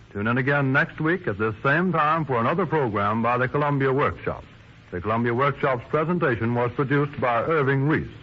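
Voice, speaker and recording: A man giving formal announcements in the style of 1930s network radio. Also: mid-range voice. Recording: muffled 1930s radio recording.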